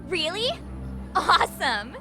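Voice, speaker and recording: A woman's voice: extremely high pitch, sing-song, slow pace, pushed and bright, faint music behind, exaggerated voice. A woman voicing a cocky teenage schoolboy in a video game dub, wheedling and slangy with mock politeness. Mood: mock indignant. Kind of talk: video game cutscene English dub acting.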